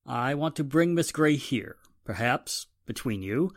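Actor as steady, dry-recorded 1940s police inspector. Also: male voice, mid-range voice, a little slow, dry recording. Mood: official. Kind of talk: 1940s radio drama acting.

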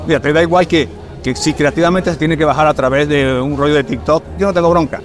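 A middle-aged film director from Puerto Rico with a mixed Caribbean and Mexican Spanish accent, chatting casually and telling stories with lots of fillers. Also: male voice, on the high side, steady tone, fast. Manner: chatty, storytelling, fillers like "este". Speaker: film director, podcast guest. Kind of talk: casual conversation.

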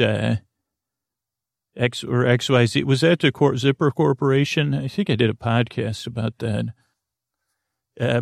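A man hosting a sleep podcast in a creaky, gravelly voice, rambling casually and whimsically, often halting and interrupting himself as he drifts off on tangents. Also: mid-range voice, no echo, clean studio sound. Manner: meandering, self-interrupting, halting with trailing tangents; whimsical, mildly absurd. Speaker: podcast host of bedtime stories. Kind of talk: rambling casual monologue meant to lull listeners to sleep.

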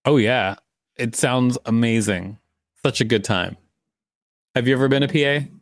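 A man's voice: medium pitch, normal speed, no room sound. A man co-hosting a podcast, reading an article aloud with dry, joking asides. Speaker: podcast co-host. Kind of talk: reading an encyclopedia article aloud with casual banter.

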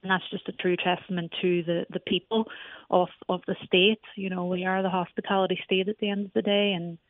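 A woman's voice: on the low side, flat delivery, a little fast, no echo. Irish woman coach on a tinny phone line. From Ireland. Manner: chatty, many restarts. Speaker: soccer coach. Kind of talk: phone interview.

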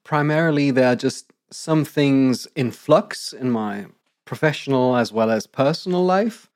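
A slightly croaky man in his thirties co-hosts a podcast, articulate and measured, with frequent laughs. His voice sits at an ordinary pitch. The recording is dry and close, with no room sound. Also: dry recording. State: slightly croaky, recovering from illness. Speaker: podcast co-host. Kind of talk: casual podcast announcement.

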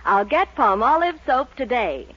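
A woman's voice: on the high side, big pitch swings, a little slow, slightly rough. A woman delivering a 1940s advertising jingle in a lilting style. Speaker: jingle performer in a radio ad. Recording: dull 1940s radio recording.

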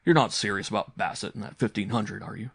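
Storybook uncle, acted by a male reader: hearty grown man, amused, indulgent teasing, creaky, dry recording. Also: on the low side, quick pace, fairly bright tone, no room sound, full character voice.

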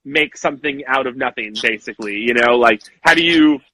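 A young man co-hosting a casual wrestling podcast, animated and quick to act out lines he quotes. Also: medium pitch, no room sound, low-quality recording. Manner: animated, acts out quotes in voices. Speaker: podcast co-host.

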